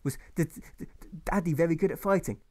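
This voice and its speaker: A man voicing a nervous, earnest young boy who stammers and pleads haltingly in an audiobook story. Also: on the high side, a little mellow, voice pushed up, lightly acted. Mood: anxious, pleading.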